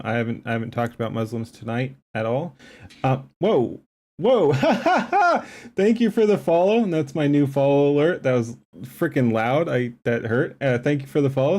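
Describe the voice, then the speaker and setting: A man's voice: mid-range voice, darkish tone. A man commenting live on a stream, talking emphatically in a rambling way, with lots of fillers and restarts. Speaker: streamer reacting to a debate clip.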